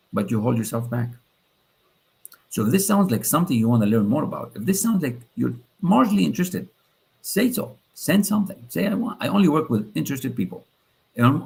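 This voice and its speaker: A man from Lebanon hosting a livestream, pitching his coaching program persuasively and repeating phrases for emphasis. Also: creaky, a little mellow, husky. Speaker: coach and host.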